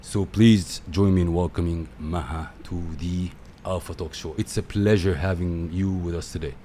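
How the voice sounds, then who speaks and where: Deep-voiced talk show host, effusive. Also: male voice, steady tone, normal speed, slight background noise. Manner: effusive, repeats himself warmly. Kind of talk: hosting an interview.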